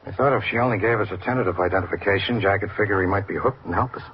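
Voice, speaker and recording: An actor playing a matter-of-fact police detective in a radio drama, brisk and authoritative. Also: male voice, lowish voice, close to an everyday voice. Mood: businesslike. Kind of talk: 1950s radio drama acting. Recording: noisy muffled old radio recording.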